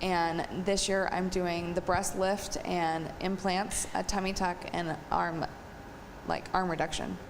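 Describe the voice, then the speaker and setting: A woman's voice: on the low side, flat delivery, slow pace. A woman from California, talking casually in an interview as a patient. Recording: reverberant room with faint noise.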